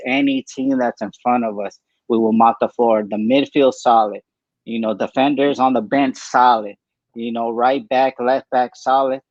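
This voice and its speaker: A man's voice: medium pitch, no room sound, highs cut off. A man on a podcast talking football emphatically in casual chat. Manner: emphatic, excited. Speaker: podcast co-host.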